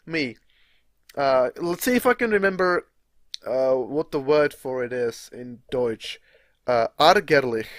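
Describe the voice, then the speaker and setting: A man's voice: mid-range voice, lively intonation, a little slow, no echo. A young man whose first language is Czech, rambling casually over gameplay, swearing freely and laughing at himself. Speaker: gaming video creator. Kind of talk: casual rambling live commentary.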